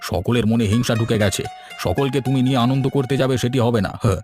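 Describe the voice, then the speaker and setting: A man's voice: medium pitch, brisk, over music, lightly acted. A man playing a nostalgic, folksy old village husband in a cartoon, often complaining. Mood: resigned. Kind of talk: cartoon dialogue.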